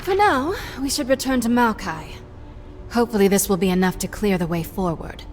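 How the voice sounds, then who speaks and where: A woman's voice: medium pitch, animated, a little fast, over music, natural voice. A woman playing an impatient, sardonic pirate captain in a video game, with punchy, sarcastic delivery. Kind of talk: video game character acting. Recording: ship and sea ambience behind.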